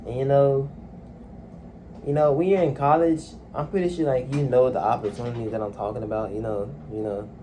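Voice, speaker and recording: A young man talking casually and haltingly into a phone at home, with a soft, low-key delivery and lots of fillers. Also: steady tone, slight background noise. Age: young adult. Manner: soft-spoken, hesitant, many fillers. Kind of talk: casual personal monologue. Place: home, alone in a room.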